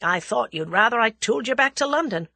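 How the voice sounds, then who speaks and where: A brash young American man from a storybook thriller, acted out by a woman, with a husky edge and a lively swing in pitch. He gives orders coolly and tosses off wry slang in a breezy, commanding way. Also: brisk, no echo, heavily acted.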